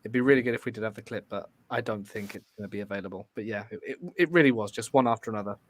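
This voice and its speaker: A man's voice: on the low side, rapid-fire. A man speaking as a panel guest in a casual debate, politely explaining his opinions. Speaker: guest panelist, podcast host. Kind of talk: casual debate about horror films.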